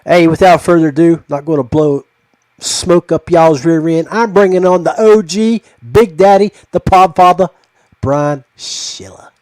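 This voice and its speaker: A man's voice: fairly high pitch, unhurried, a little mellow, no room sound. A man hosting a casual podcast chat in an enthusiastic, folksy way. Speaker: podcast host.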